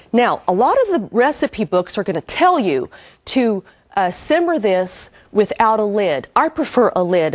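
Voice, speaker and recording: A woman's voice: medium pitch, darkish tone, dry recording. A middle-aged woman hosting a cooking show, talking viewers through each step in a chatty, homey way while cooking at the stove. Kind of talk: cooking demonstration. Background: sizzling pan and stirring.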